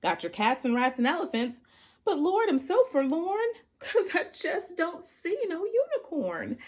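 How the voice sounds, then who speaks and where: A woman's voice: extremely high pitch, wildly swooping pitch, a little slow, pitched above the performer's own voice, exaggerated voice. A woman voicing a folksy, plaintive Noah who calls out in a storybook poem. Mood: forlorn, calling out. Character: forlorn, hurried Noah calling up to the Lord.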